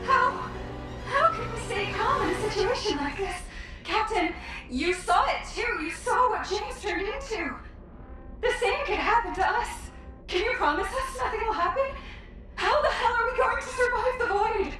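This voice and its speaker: A woman's voice: very high voice, lively intonation, normal speed. An actress playing a despairing young woman soldier who is turning monstrous, speaking in an anguished, pleading video game style. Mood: panicked, tearful. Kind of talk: dramatic video game acting. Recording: distant, very echoey, music behind.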